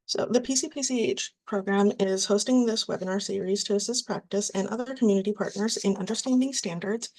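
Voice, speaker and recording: A woman presenting a webinar, reading from slides in a steady, scripted way. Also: medium pitch, brisk, few pauses, low-quality recording.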